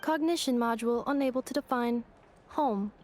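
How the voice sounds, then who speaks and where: A woman's voice: on the high side, moderate pace, darkish tone, a little hiss or hum, lightly acted. A woman voicing an earnest, curious robot girl with memory loss, speaking in stilted, literal phrases for a video game. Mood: earnest, matter-of-fact.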